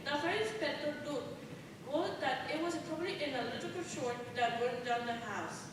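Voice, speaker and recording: A woman's voice: high voice, moderate pace, lightly acted. A young female student giving scripted fairy tale narration in a live school play. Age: young teen student. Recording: echoey hall from far off, noisy.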